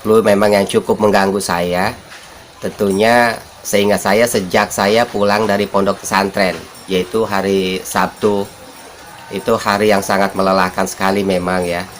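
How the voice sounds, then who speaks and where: A man hosting a casual vlog, his voice hoarse and nasal from the flu as he talks to his viewers. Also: on the low side, little pitch movement, measured pace, a little hiss or hum. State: congested with flu. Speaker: vlog host.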